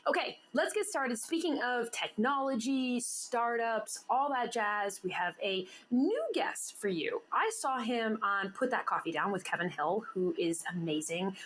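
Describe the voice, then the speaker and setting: A woman's voice: fairly high pitch, a little slow, few pauses, a bit bright, a little hiss or hum. A woman hosting an interview show, bubbly and teasing, laughing often as she banters with her guest. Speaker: podcast host. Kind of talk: casual interview chat.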